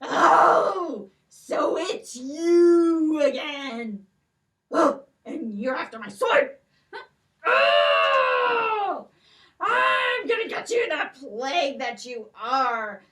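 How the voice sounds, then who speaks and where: A woman's voice: sits high, wildly swooping pitch, drawn-out words, fairly bright tone, raised voice, distant mic, exaggerated voice. A woman voicing a big, hungry, bad-tempered male giant in a children's puppet show, who grumbles sleepily and roars threats. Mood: furious.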